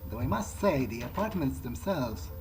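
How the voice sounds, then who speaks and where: A man's voice: medium pitch, sing-song, normal speed, background noise. A man giving in-character commentary on a video game, thinking aloud hesitantly. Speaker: gamer roleplaying in character. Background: game music.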